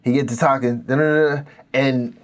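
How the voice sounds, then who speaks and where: A man of middle pitch hosting a podcast, with a faint Pittsburgh accent. He talks in a relaxed, reflective way and often affirms his guest. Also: normal speed. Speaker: podcast host. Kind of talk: casual podcast conversation.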